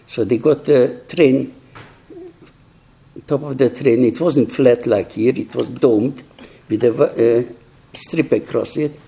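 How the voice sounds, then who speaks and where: Halting elderly man with a dark, husky voice. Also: mid-range voice. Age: older, 80s or 90s. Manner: slow, halting, reflective, with restarts. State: hard of hearing. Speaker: survivor giving testimony to young people. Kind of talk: personal testimony talk.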